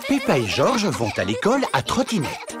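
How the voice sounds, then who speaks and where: A man's voice: a little high, wildly swooping pitch, normal speed. A man as the cheerful storybook narrator of a preschool cartoon, reading scripted narration. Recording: loud music behind the voice.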